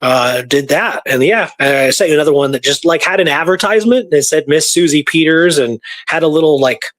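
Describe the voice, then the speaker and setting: A man casually retelling history research from his notes, halting and informal as he reads out dates. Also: a little high, fairly bright tone, no echo.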